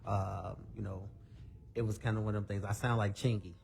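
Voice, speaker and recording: Gravelly-voiced man, relaxed interview guest. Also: lowish voice, slight background noise. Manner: relaxed, candid, drawn-out storytelling. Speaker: guest singer. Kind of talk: casual interview chat.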